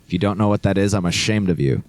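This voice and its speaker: A man's voice: low-pitched, quick pace, a little mellow. A man co-hosting a let's play with casual joking commentary, playfully mocking and echoing phrases as he plays. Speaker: let's play co-host playing the game.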